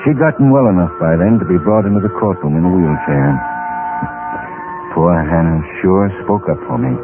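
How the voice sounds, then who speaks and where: An actor playing a guilty, nervous husband, flustered and remorseful, with agitated delivery in old-time radio drama style. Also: male voice, low voice, moderate pace, loud music behind the voice, lightly acted. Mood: rueful. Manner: stammering, agitated. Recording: noisy, tinny old radio recording.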